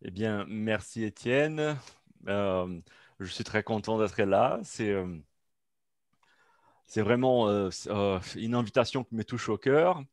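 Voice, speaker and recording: A man's voice: lowish voice, animated, slow pace, no echo, clean studio sound. A man speaking French as a second language, giving a seminar talk over a video call, hesitant with many fillers and restarts. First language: not French. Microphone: computer mic on a video call.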